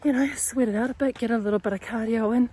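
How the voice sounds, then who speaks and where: A woman's voice: on the high side, fairly even intonation, fast talker, warm tone, slightly rough. A young woman vlogging on a hiking trail, chatty and out of breath, chesty from a cold, filming on her phone. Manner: out of breath, chatty, sighing while climbing. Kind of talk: casual hiking vlog. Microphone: phone held while walking.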